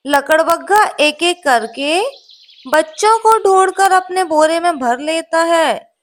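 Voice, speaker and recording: A woman narrating a scripted children's story. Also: extremely high pitch.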